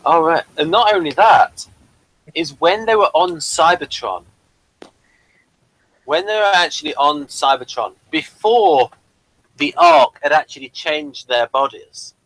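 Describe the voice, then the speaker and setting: A man's voice: a little high, fairly bright tone, dry recording, low-quality recording. A man hosting a casual pop-culture review, rambling keenly through small details. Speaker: review show host.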